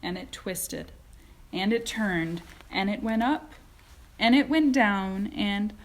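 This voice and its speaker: A woman's voice: mid-range voice, slow. A woman teacher narrating a children's story expressively, in read-aloud style.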